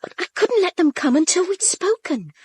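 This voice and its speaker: A woman's voice: very high voice, very animated, moderate pace, a little mellow, no echo. An actress playing an anxious woman, speaking urgently in a radio drama. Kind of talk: British radio drama dialogue.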